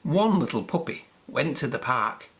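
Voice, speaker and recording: A woman narrating a children's storybook aloud in a deliberate, expressive manner. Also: very deep voice.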